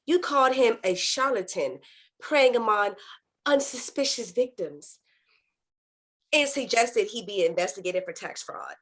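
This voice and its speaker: A woman's voice: a little high, expressive, a little slow, a bit bright. A woman playing a skeptical news reporter in a script read, asking pointed questions. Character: persistent, skeptical news reporter confronting a politician. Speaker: actor in a virtual table read. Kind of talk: screenplay table-read acting.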